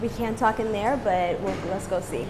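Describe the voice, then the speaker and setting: A young woman with a middle-pitched voice speaks in a relaxed, casual way, filling pauses with ums and light laughs. She is being interviewed while walking around her building, and the mic sometimes sits farther from her. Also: noisy background. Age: young adult. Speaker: interviewee, English teacher. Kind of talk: casual interview and home tour.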